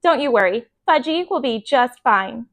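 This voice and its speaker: A woman's voice: on the high side, animated, moderate pace, close to an everyday voice. A woman voicing a bossy, eager know-it-all fourth grade girl in a children's storybook, insistent and sing-song. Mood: confident.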